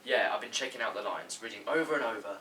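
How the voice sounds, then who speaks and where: A man's voice: on the low side, expressive, fast, sharp tone. A man playing a naive, self-important young aspiring actor at an amateur table read, earnest and blunt. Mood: proud, defiant. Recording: distant mic with background noise.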